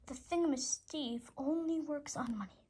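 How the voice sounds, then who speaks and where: A female reader haltingly reading a children's comic book aloud, slipping over words. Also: high-pitched, dark tone.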